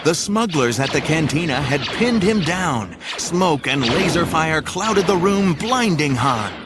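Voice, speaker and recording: A man's voice: fairly high pitch, very animated, a little slow. A man narrating a scripted adventure drama in a theatrical, suspenseful way, with music behind him.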